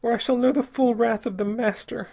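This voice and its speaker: A man voicing a terrified, despairing young married woman in an audiobook story, with a breathy, halting, pleading delivery. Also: high-pitched, expressive, a little mellow, pitched above the performer's own voice, heavily acted. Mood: dull despair. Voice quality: breathy, gasping.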